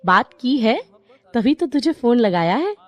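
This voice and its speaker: Casting: actress as busybody female relative for cartoon story, high-pitched, very animated, brisk and no-nonsense. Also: dry recording, faint music behind. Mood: chatty, reassuring. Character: brisk, chatty female relative who arranges matches. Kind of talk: animated Hindi moral story dialogue.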